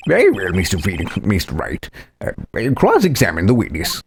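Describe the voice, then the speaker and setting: A man's voice: sits low, huge pitch swings, moderate pace, gravelly, a little hiss or hum, exaggerated voice. A man voicing a flustered, doddering old courtroom judge in a video game, hesitant and exclamatory. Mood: hesitant, formal. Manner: hesitant, exclamatory, easily swayed.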